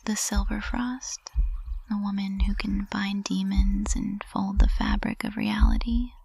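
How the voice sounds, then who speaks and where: A woman acts out a witch professor in an intimate ASMR roleplay, whispering slowly and close to the mic. Her breathy hush has a dry closeness, and she sounds tender, fragile and possessive beneath a formal composure. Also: medium pitch, very animated, dry recording, heavily acted. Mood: vulnerable, confessing. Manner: slow, hushed, intimate delivery. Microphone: close microphone.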